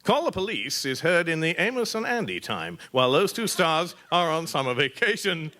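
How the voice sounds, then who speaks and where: An actor playing an old-time radio network announcer, reading scripted credits and teasers. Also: male voice, on the high side, very animated, moderate pace, sharp tone. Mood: announcing. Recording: noisy live stage with audience.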